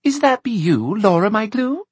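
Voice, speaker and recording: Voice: mid-range voice, wildly swooping pitch, normal speed, warm tone, slightly rough, no room sound, voice pushed up. A narrator voicing a skeptical, puckish young girl gatekeeper with quaint childish dialect in a storybook. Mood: hesitant.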